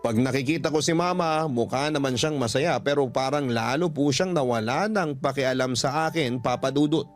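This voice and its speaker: Male radio storyteller, mid-pitched, earnest and polite, narrating a personal letter over faint music. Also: moderate pace, hardly any pauses. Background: background music.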